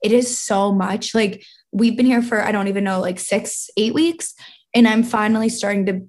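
A woman's voice: mid-range voice, normal speed, darkish tone. A young woman in her twenties co-hosting a podcast, bubbly and casual, laughing often and filling her talk with "like" and "dude.". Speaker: podcast co-host. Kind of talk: casual chat.

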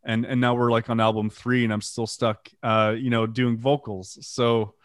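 A French Canadian man talks about his band in a relaxed, meandering way, full of "like" and restarts. His voice sits at an ordinary middle pitch. The recording is dry and close, with no room sound. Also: moderate pace, no room sound. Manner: rambling with fillers, self-deprecating, swears casually. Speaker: band vocalist and guitarist. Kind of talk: casual interview, storytelling.

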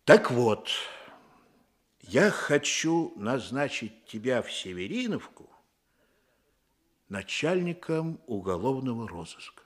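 An actor playing an earnest, fatherly Soviet police chief in a radio drama, coaxing and emphatic, with a husky voice. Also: medium pitch, big pitch swings, takes it slowly, long pauses. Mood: earnest, persuasive. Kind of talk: Russian radio drama acting.

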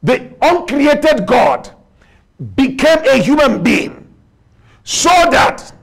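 A Nigerian-accented male preacher with a high voice and a gravelly fry running through it. He hammers each point with repetition and spells words out letter by letter. His delivery swells into shouted declarations. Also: big pitch swings, drawn-out words. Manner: emphatic, repeats lines, spells words out; rises to shouts. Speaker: pastor. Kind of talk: sermon.

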